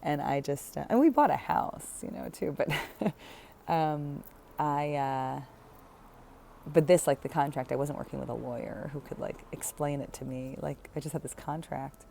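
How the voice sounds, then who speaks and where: Low, gravelly woman vlogging casually. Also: normal speed, warm tone, a little hiss or hum. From United States. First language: English. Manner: chatty and rambling, many fillers and self-corrections, frequent light laughs. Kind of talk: casual personal vlog.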